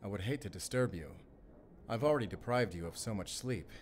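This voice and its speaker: A man acts out a German wolf hunter in a cinematic audio drama. His voice is low and German-accented, his manner formal and composed with dry wit. Some background noise and ambience sit behind him. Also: lively intonation, a little fast, lightly acted. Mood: wry, gentle. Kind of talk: cinematic audio roleplay acting, speaking to the listener.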